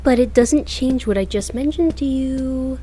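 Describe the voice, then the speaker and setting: A woman's voice: high voice, unhurried, mellow, husky. A woman playing a seductive, possessive she-devil with a teasing manner in a comedy-horror audio drama. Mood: sultry, smug. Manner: flirtatious, teasing.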